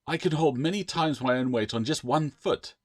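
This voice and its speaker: A man's voice: a little high, moderate pace, no room sound, studio quality, voice pushed up, close to an everyday voice. Storybook giant gecko, played by a male reader: greedy, boastful, easily indignant, voice lifted above the narrator's. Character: cheeky, proud, greedy giant gecko familiar.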